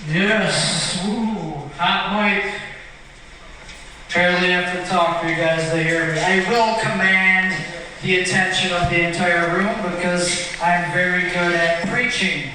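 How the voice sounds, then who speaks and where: A man's voice: high-pitched, fairly even intonation, slow, sharp tone, roomy sound, slight background noise. A man performing a cocky, brash stand-up set at an open mic in a bar, recorded from a distance.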